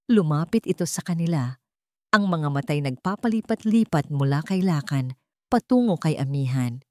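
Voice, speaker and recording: A woman's voice: sits low, dry recording, studio quality. A woman narrating a scripted story in a dramatic, emotive and solemn storytelling manner.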